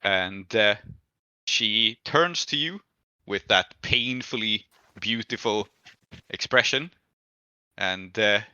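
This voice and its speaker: A man with a lowish, very bright and forceful voice narrates a tabletop roleplaying game. He feels his way through the scene, thinking aloud, on a dry recording. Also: takes it slowly, pauses often, clear, resonant tone, no room sound, highs cut off. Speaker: game master.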